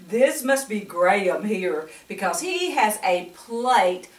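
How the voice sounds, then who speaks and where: Older woman, grandmotherly storyteller, unhurried pace, picture-book read-aloud, coaxing questions to children, mic at a distance in an echoey room. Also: medium pitch, very animated.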